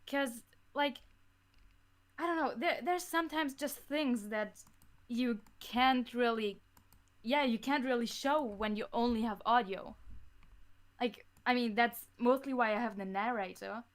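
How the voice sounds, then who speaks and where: A woman's voice: fairly high pitch, a little slow. A woman talking casually in an interview as a podcast guest who makes audio dramas.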